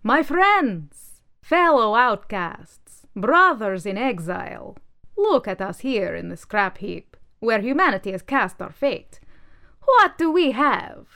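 Storybook casting: woman doing a loud, pompous talking book orator, slow and bright. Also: a little high, very animated, voice pushed up, heavily acted. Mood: rousing. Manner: orating, declaiming. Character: pompous male book manifesto, rabble-rouser.

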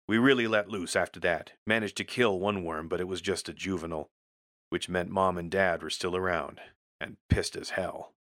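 Deep, bright-edged male story narrator. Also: no echo, studio quality. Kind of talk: scripted first-person audio fiction narration.

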